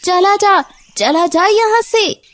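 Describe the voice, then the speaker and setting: A woman's voice: very high-pitched, slow, a bit bright, pitched above the performer's own voice, full character voice. A woman voicing a brave, desperate mother bird in a children's cartoon, speaking emphatically and pleadingly. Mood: defiant. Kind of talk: Hindi children's cartoon voice acting.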